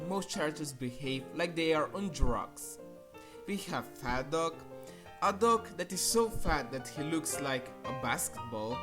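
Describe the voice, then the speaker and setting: A woman narrating an opinionated countdown review in a blunt, sarcastic and casually crude manner. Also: deep voice. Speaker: video reviewer. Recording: music behind the voice. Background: music.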